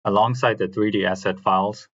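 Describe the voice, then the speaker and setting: A man's voice: fairly low pitch, fairly even intonation, measured pace, dull audio. A man giving a scripted technical presentation as a technical artist.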